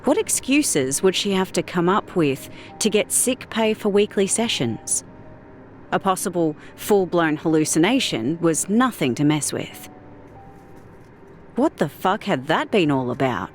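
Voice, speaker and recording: A woman narrates an audiobook story at a very unhurried pace. Her voice sits a little low, and her delivery is measured and deliberate. Faint music plays behind her. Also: sing-song. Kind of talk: scripted fiction narration.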